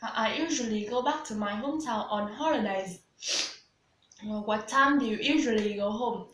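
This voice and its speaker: A woman's voice: fairly high pitch, moderate pace, fairly bright tone. Hesitant Vietnamese-accented young woman, echoey room. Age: 20s. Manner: hesitant, many "uh" fillers and restarts. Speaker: student. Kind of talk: scripted English speaking practice.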